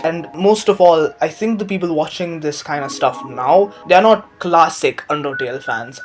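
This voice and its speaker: A man giving a casual, opinionated commentary in a rambling, emphatic way with jokey asides. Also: on the high side. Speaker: video commentator.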